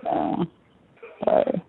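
A middle-aged or older woman chatting casually with family on the phone, speaking hesitantly and breaking off at times. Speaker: mother on a phone call, minding a baby. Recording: tinny, band-limited phone line.

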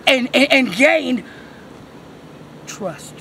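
A woman's voice: high-pitched, animated, drawn-out words, a bit bright. A middle-aged woman, ranting profanely and rambling on the street to an interviewer. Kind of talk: heated street talk. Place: outdoor parking lot. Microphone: camera phone held by interviewer. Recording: noisy outdoor phone recording.

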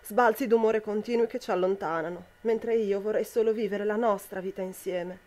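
A woman's voice: mid-range voice, steady tone, normal speed, darkish tone, natural voice. A young woman playing a frightened, unhappy wife in an amateur radio drama, plaintive and confiding. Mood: fearful, sad. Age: young adult.

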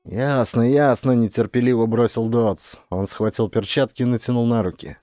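A man's voice: medium pitch, steady tone, a little fast, mellow, no echo, clean studio sound. A man narrating an audiobook as an actor, in a dramatic scripted style.

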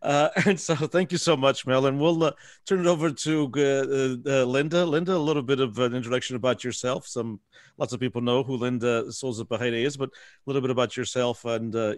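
A man in his sixties moderating a panel on a video call, halting and full of restarts, speaking through an earbud headset in a book-lined office. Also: medium pitch, no room sound, clean studio sound. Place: office with bookshelves, indoors.

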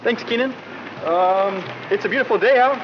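A man's voice: high-pitched, normal speed, soft background music, natural voice. A young man playing a mild, upbeat, slightly hesitant weather correspondent reporting outdoors on old tape. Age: young adult. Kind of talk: scripted field report. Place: outdoor school courtyard. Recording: dull tape, outdoor ambience noise.

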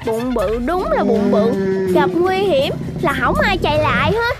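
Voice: high-pitched, very animated, measured pace. A performer voicing a cautious little cartoon youngster, wary and prone to worry, in a childlike voice. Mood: wary, scolding. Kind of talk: animated children's cartoon acting. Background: background music.

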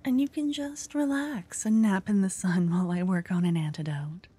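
A woman voicing a jealous, doting young witch girlfriend in an intimate ASMR roleplay, breathy and often whispering, with dramatic delivery. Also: mid-range voice, normal speed, warm tone, natural voice. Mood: seething, jealous. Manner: dramatic, swinging from cooing to venting. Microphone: close ASMR microphone.

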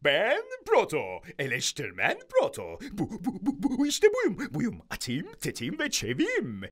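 A woman's voice: wildly swooping pitch, unhurried, husky, full character voice. A woman voicing a pompous, vain grown rooster, a lifeguard and critic in a dubbed cartoon, showy and dithering. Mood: boastful. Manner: showy, boastful, dithering, squeaky swoops. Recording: cartoon soundtrack with music behind.

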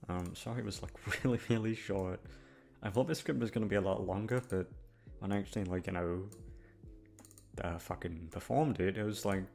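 A man's voice: deep voice, a little fast, creaky, a little mellow, soft background music. A young man chatting casually to his listeners, rambling in a hesitant, self-deprecating, mildly sweary way. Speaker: audio roleplay creator.

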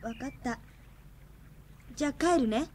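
A woman's voice: high voice, measured pace, a little mellow. An actress playing a wistful, girlish young woman in a radio drama. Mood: hurt. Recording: clear background ambience noise.

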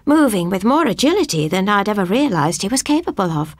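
A woman narrating a scripted first-person ghost story as an audiobook reader, with a husky voice. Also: medium pitch, sing-song, fairly quick, no room sound.

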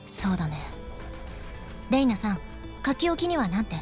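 A woman's voice: mid-range voice, sing-song, moderate pace, soft background music, close to an everyday voice. Tactful, cool-headed young woman, played by an actress. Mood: concerned. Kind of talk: video game cutscene dialogue.

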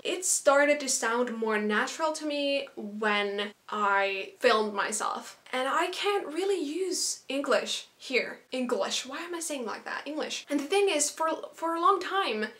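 A woman's voice: on the high side, fairly bright tone. A young woman from Finland with a Finnish accent, whose first language is Finnish, chatting earnestly to camera from her small bedroom. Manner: chatty and earnest, with little laughs. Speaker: YouTuber. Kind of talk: casual talk to camera. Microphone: camera in front at close range.

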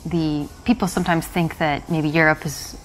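A woman playing the date in a live-action dating game, answering in a calm, patient and earnest way. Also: low-pitched, normal speed, soft background music, rough recording. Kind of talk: scripted acted dialogue.

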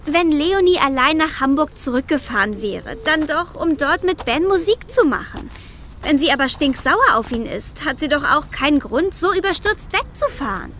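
An earnest young girl in a children's football radio play. She sounds concerned and speaks in a high voice. There is faint noise behind her. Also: animated, moderate pace, fairly bright tone. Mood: worried. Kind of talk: children's audio drama acting.

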